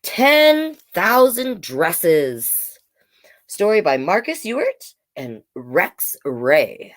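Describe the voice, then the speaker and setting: A woman's voice: lowish voice, big pitch swings, extremely slow. A woman hosting a storytime, reading a children's book aloud expressively and coaxing young listeners with little asides. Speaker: storytime host, drag king performer.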